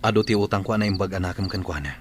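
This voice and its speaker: A man's voice: deep voice, rapid-fire, close to an everyday voice. An actor playing a troubled young man torn between two loves, earnest and burdened, in a radio drama. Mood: troubled, defensive. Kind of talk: Ilocano radio drama acting. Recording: noisy track with clicks and insects.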